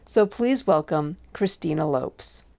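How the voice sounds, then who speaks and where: A woman's voice: low-pitched, animated, slow pace, gravelly, warm tone. A woman interviewing a guest, asking direct, brief questions.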